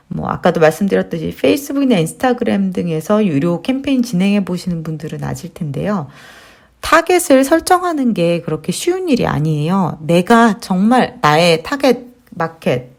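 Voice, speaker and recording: A woman's voice: mid-range voice, darkish tone. A woman in her thirties giving a friendly, explanatory screen-share tutorial on marketing, with fillers as she talks. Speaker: marketing instructor.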